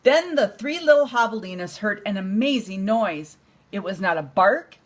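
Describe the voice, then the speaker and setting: An older woman, a grandmother, reading a bedtime storybook aloud to a child in an expressive, unhurried way. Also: mid-range voice.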